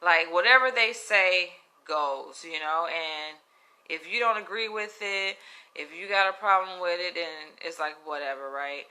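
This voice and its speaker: A woman with a Southern US accent, telling a story to camera in a frank, animated, profane way. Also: on the low side, a little slow, low-quality recording. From Florida, US. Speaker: comedian. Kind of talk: casual storytelling vlog.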